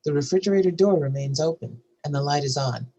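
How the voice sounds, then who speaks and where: Husky, highish-voiced male screenplay narrator. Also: fast, warm tone, dull audio. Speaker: narrator reading stage directions.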